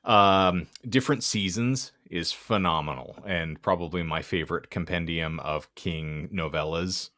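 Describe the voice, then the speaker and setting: Male podcast co-host, very low voice, verbose and wry, with a touch of theatrical phrasing in casual conversation. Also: unhurried, hardly any pauses, sharp tone. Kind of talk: casual book-club podcast chat.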